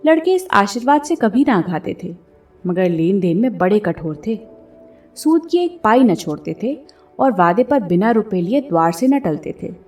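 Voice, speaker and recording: A woman narrates a story from a script in an expressive audiobook style. Her pitch is mid-range. She sounds a little away from the microphone, with music playing behind her. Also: moderate pace, far from the mic. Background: background music.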